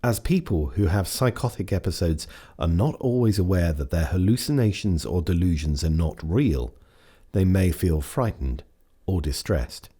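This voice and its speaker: A man reading scripted instructional narration for a training film in a measured, carefully phrased way. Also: deep voice, lively intonation, warm tone, slightly rough.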